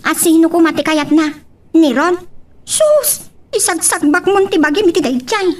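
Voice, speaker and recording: A woman's voice: very high voice, lively intonation, normal speed, distant mic. An actress playing an inquisitive, outspoken young female confidante in an Ilocano drama. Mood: exasperated. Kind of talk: Ilocano radio-style drama acting.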